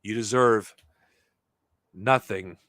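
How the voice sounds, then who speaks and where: A man hosting a podcast, talking off the cuff to his viewers in a heated, profane, rambling way, his voice getting worn and hoarse. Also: fairly low pitch, slow pace, fairly bright tone, dry recording, studio quality. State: losing his voice. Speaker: podcast host. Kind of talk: unscripted monologue to viewers.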